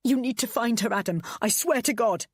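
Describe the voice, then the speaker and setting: A woman voicing a frantic young mother who shouts in panic and fury. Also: mid-range voice, very animated, a little fast, breathy edge, no room sound, clean studio sound, voice pushed up.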